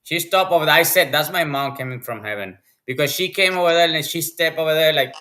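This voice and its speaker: A man in his forties from Puerto Rico with a Puerto Rican accent, chatting excitedly as a podcast guest over a video call and often restarting his sentences. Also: a little high, fast. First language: Spanish. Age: 40s. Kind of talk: casual chat.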